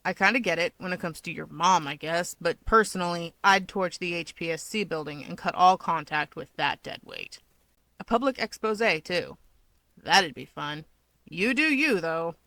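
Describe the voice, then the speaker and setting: A woman's voice: lowish voice, moderate pace, sharp tone, no room sound. A woman voicing a taunting, dismissive young man with a grudge in a fan fiction audiobook. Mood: cutting sarcasm. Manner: sardonic, dismissive.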